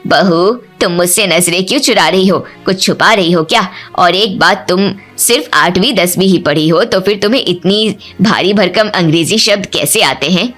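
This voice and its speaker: A woman's voice: on the low side, moderate pace, few pauses, a bit bright, faint music behind. Woman playing a probing, suspicious mother-in-law. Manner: probing, pointed. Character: suspicious middle-aged mother-in-law questioning her bride.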